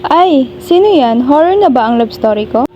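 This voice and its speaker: A woman's voice: sits high, expressive, moderate pace. A woman with a Filipino accent playing a demure romantic actress in a comedic radio drama. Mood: alarmed. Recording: dull, very noisy recording.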